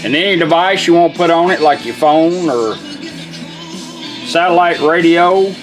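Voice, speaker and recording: A man with a somewhat high voice walks through a product demonstration. He talks plainly and informally, explaining each step in a folksy way. Music plays clearly behind him. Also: moderate pace. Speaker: vintage car radio restorer demonstrating a product.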